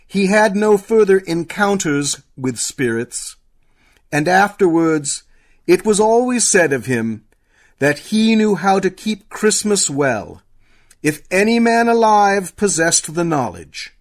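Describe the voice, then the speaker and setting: Slow, animated male narrator, high voice. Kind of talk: dramatic scripted narration of a radio play.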